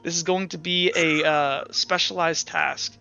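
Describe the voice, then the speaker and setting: A man improvising narration as a tabletop game master, halting and casual, swearing freely as he goes. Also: fairly high pitch, fairly even intonation, vocal fry, sharp tone, lo-fi sound, faint music behind.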